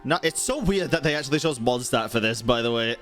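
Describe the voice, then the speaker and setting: Animated young man streaming a game. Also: mid-range voice, fast talker, music in the background. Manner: sweary, reactive, informal. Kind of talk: casual live gameplay commentary.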